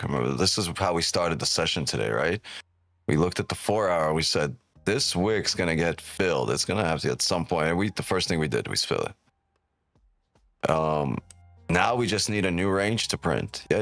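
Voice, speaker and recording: A man's voice: sits low, a bit bright, no echo. A man born in Ukraine hosts a live trading stream, chatting casually and with profanity as he reads chat aloud, often tagging on "right?".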